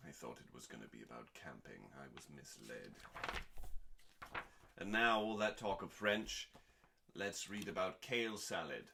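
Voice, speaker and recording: A man hosting a dramatic scripted reading in a deliberate, theatrical manner with pauses and dry, deadpan delivery. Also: deep voice, gravelly, background noise. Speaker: host.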